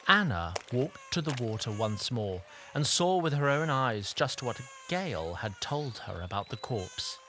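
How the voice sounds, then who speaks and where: A man's voice: mid-range voice, sing-song, measured pace, few pauses, pushed and bright, slight background noise. A man playing a fussy, theatrical storyteller who narrates a fantasy audio drama in wordy, arch phrases and addresses listeners directly. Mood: arch, storytelling. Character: chatty, theatrical male storyteller narrator, fussy and self-aware.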